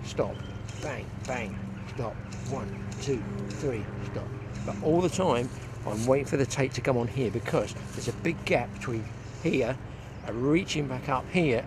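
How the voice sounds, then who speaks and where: Older man teaching fly fishing outdoors. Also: medium pitch. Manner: chatty, hands-on, thinking aloud. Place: outdoors on a lakeside bank. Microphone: clip-on mic outdoors, some wind. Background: wind and outdoor ambience.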